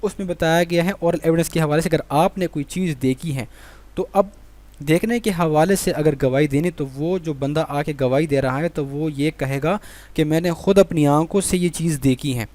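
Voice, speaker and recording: Higher-pitched Pakistani man giving a lecture. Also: moderate pace, darkish tone. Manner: explanatory, repeats points with rhetorical questions. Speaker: law lecturer. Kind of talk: exam-prep lecture, reading questions then explaining.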